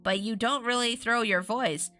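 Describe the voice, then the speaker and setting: A woman's voice: on the high side, moderate pace, bright, forceful tone, faint music behind. A woman voicing a serious, thoughtful boy from a storybook, laconic and unimpressed. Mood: doubtful, bored. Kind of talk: storybook character voice.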